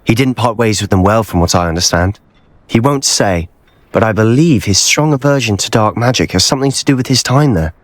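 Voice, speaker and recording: An actor playing an earnest, secretive teenage wizard student in a video game, speaking in a measured, persuasive way. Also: male voice, fairly low pitch, lively intonation, no echo, natural voice. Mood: earnest, determined. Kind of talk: video game cutscene acting.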